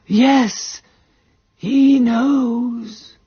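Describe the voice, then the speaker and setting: An actress playing a prim, resourceful English spinster sleuth in a radio drama, speaking in a fussy, genteel and precise manner. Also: female voice, a little high, fairly even intonation, extremely slow, warm tone, breathy edge, dull audio, heavily acted. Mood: solemn, trance-like. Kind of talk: British radio drama acting.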